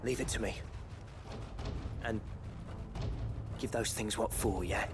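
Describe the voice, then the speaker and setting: A man's voice: fairly low pitch, steady tone, slow, over music. A male actor playing a plain-spoken, chummy fighter in a video game, wry and colloquial, with a working-class English accent. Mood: concerned. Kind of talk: dramatic game acting.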